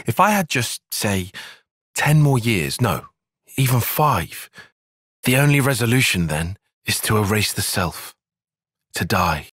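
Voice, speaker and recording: An earnest young tactician in a video game cutscene. His voice is a little husky and recorded close and dry. He speaks in formal, bookish sentences, with pitch that moves a good deal. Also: big pitch swings, unhurried, fairly bright tone, no room sound, exaggerated voice. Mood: somber, reflective. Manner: precise, formal, bookish phrasing. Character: analytical, earnest young male soldier and tactician. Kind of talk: dramatic game cutscene acting.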